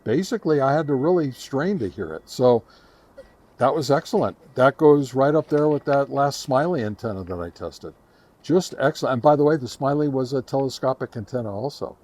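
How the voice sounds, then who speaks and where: A man hosting a casual product review, speaking haltingly to his viewers and repeating words for emphasis. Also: mid-range voice, lively intonation, a little mellow. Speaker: host reviewing radio antennas.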